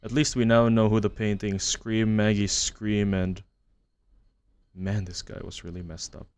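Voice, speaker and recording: A man narrating a horror commentary as a video essayist, measured and matter-of-fact, reading from a script. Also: on the low side, level tone, darkish tone, smooth. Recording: music under the voice-over. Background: background music.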